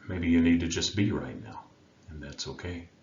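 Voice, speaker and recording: A man leading a guided meditation as a coach, speaking in a gentle, soothing manner with long unhurried pauses. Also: deep voice. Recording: roomy, dull sound with faint background noise.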